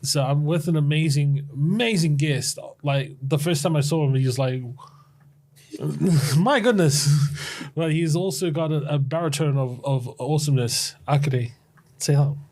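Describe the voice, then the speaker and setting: A man's voice: on the high side, normal speed, a little mellow. A man hosting a community podcast in an upbeat, enthusiastic, playful way. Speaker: podcast host.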